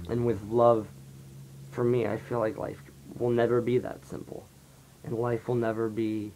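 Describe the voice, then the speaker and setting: A teenage man talking softly and rambling through a confessional vlog, his voice raspy from a dry throat. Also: medium pitch, slight background noise, low-quality recording. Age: late teens. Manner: soft-spoken, rambling, heavy on fillers. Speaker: vlogger. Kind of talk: confessional casual monologue.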